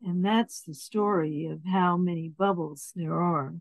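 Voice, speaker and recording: A woman reading children's picture books aloud in an expressive way, adding asides about the pictures. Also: fairly low pitch, measured pace, no room sound. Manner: expressive, acts out words and dialogue.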